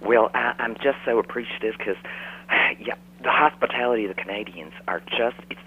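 A man's voice: medium pitch, a little fast, slightly rough, phone-quality sound. A man playing a gushing Southern church mom. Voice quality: gushing, folksy, rambling, says "y'all". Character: chatty middle-aged Southern churchgoing mother. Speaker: radio caller. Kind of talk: phone-in radio chat.

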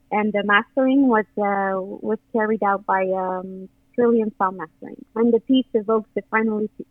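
A woman's voice: mid-range voice, no room sound. A woman in her thirties whose first language is French, speaking English with a Quebec French accent, hesitant and full of fillers and restarts as an interview guest. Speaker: guest musician. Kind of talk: casual interview over the phone. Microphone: phone. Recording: tinny dry phone line.

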